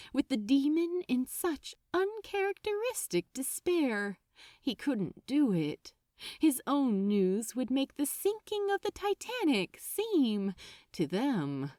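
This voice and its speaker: A woman narrates a story in a high, very animated voice. She takes her time, with a deliberate, suspenseful delivery. The sound is dry, with no room around it. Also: no room sound. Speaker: fan fiction narrator. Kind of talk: dramatic scripted audiobook narration.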